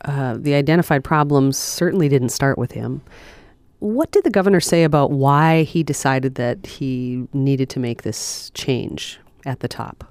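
A radio host moderates an interview and call-in segment in a polite, conversational way. The voice is smooth and resonant, recorded close and dry. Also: medium pitch, measured pace, darkish tone, no echo.

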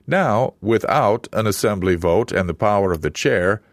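A man reading a scripted radio news report in a measured, even newsreader delivery. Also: lowish voice, no room sound, studio quality.

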